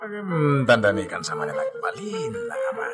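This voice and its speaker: A man's voice: on the high side, huge pitch swings, moderate pace, over music. A man playing a sly, theatrical conspirator in a radio drama. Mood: sly, probing. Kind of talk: Ilocano radio drama acting.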